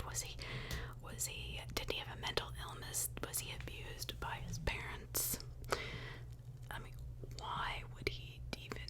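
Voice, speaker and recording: Female ASMR true-crime host: whispered throughout, very unhurried, conversational delivery. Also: low-quality recording. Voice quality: whispered, breathy unvoiced delivery.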